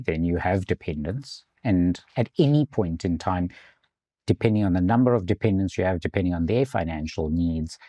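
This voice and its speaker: A man from South Africa, an insurance executive, explaining finance in a measured way in an interview. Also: sits low, dark tone, husky, no room sound, clean studio sound. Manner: measured, explanatory, some restarts.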